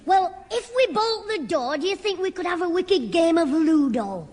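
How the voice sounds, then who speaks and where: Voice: extremely high pitch, lively intonation, normal speed, a little mellow. Piping, cheeky Northern English schoolboy. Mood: cheeky, argumentative. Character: cheeky mischievous young schoolboy, quick with backchat. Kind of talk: 1960s British radio sitcom acting. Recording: old radio recording, heavy noise, hall reverb.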